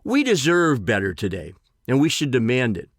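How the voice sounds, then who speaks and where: A man in his sixties reading his own personal essay in a deliberate, emphatic way with formal phrasing. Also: medium pitch, wildly swooping pitch, no echo, clean studio sound. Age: 60s.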